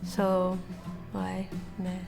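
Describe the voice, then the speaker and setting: Young female, Canadian French accent, mid pitch, colloquial off-the-cuff answers, light music behind. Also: completely flat delivery, very slow, gravelly. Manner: colloquial, peppered with "comme". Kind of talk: casual interview answer.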